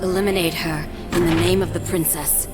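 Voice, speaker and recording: An actress playing a formal, composed military adviser devoted to a princess, briefing in a video game. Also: female voice, lowish voice, steady tone, natural voice. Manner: formal, measured. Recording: loud music behind.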